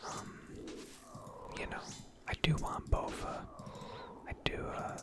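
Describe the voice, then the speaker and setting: A woman softly doing ASMR commentary over video game play, hesitant and self-deprecating, close to the microphone. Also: slow. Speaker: gaming video creator.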